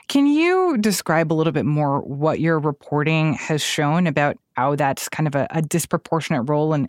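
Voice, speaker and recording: A woman's voice: low voice, no echo, studio quality. A woman hosting a news podcast, asking questions in a conversational way. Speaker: podcast host.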